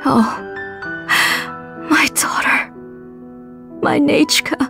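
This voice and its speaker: An actress playing a gentle, guilt-ridden middle-aged mother in a video game, speaking with earnest, tender emotion. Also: high-pitched, extremely slow, creaky, music in the background, exaggerated voice. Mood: grieving. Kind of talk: dramatic video game voice acting.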